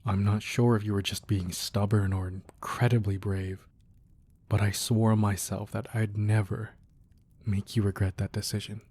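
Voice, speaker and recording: A man playing a devoted, protective crime boss boyfriend in a romantic ASMR roleplay, speaking softly and earnestly with hesitant pauses. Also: lowish voice, little pitch movement, slightly rough. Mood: tender, reflective. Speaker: audio roleplay performer. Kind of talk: romantic ASMR roleplay, intimate scripted monologue.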